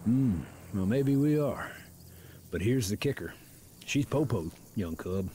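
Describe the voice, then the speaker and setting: An actor playing a gruff, bearded middle-aged drifter who talks in a slow, wistful, fatherly way. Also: fairly low pitch, animated, mellow, slight background noise. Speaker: game character. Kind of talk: game voice acting.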